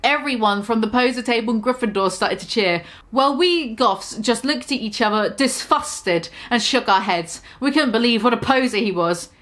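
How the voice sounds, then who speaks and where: A woman's voice: a little high, moderate pace, hardly any pauses, fairly bright tone. A woman giving a mock-dramatic reading of a fan story, amused and full of sarcastic asides. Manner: amused, keeps breaking into laughter, sarcastic asides.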